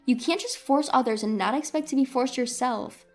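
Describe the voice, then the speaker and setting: 상처받고 당당히 맞서는 높은 목소리의 젊은 여성을 연기하는 여성. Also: female voice, sits high, normal speed, faint music behind. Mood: angry, reproachful. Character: hurt, defiant young woman confronting her parents.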